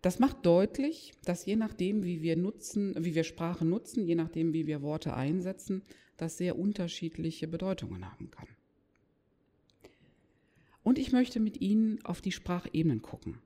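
Lecturer talking to a room, soft dark voice, explains step by step with emphasis, big echoey hall. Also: mid-range voice, expressive, moderate pace. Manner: didactic, emphatic word stress, rhetorical questions. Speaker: lecturer in nursing or healthcare. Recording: echoey hall with long reverb.

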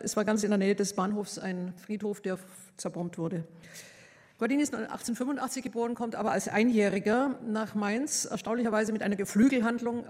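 A woman giving a measured academic lecture, pausing often with hesitations as she speaks. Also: mid-range voice, darkish tone, long reverb tail. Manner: measured, thoughtful, with frequent hesitations.

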